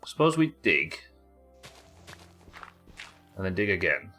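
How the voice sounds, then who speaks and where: Sniffly low-voiced man streaming a game. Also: sing-song, slow pace, sharp tone, soft background music. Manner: chatty, thinks aloud, talks to viewers and his in-game pets. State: sniffly with a head cold. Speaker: livestreaming gamer. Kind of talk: casual live gameplay commentary.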